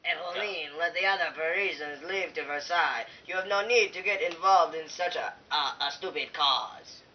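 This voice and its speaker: A man's voice: on the high side, moderate pace, distant mic, slight background noise, lightly acted. Puppet-show part, dull sound: man acts a declamatory, blustering, fretful French male character. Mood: cautious. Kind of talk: amateur puppet show acting. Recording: dull, muffled recording.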